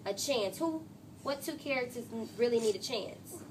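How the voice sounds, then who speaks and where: A woman teacher reading a storybook aloud expressively to a class, pausing to question pupils and echo their answers. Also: on the high side, expressive. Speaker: third grade teacher. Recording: noisy classroom ambience.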